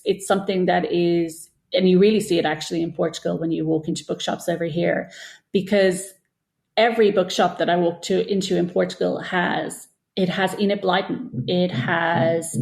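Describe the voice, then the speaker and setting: A woman's voice: lowish voice, darkish tone. A woman giving thoughtful, opinionated interview answers in long, flowing, conversational explanations. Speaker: guest author interviewed about writing. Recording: echoey, roomy sound.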